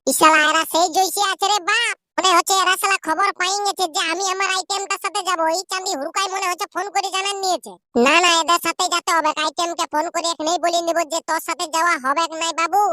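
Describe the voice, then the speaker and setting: A performer voicing a brash, slangy young village lad in a comedy cartoon, with a Purulia accent and a squeaky pitched-up voice. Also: fairly even intonation, fast, hardly any pauses, slight background noise. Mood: grumbling, scheming. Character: rowdy young village lads squabbling and teasing, cartoon. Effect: pitched-up voice filter. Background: loud music.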